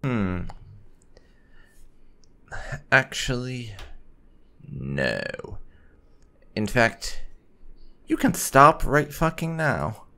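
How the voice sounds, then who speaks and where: A man's voice: medium pitch, wildly swooping pitch, extremely slow, long pauses, bright, forceful tone. A man hosting a gameplay video with casual, lightly role-played commentary, muttering to himself with dry sarcasm. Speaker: gaming host.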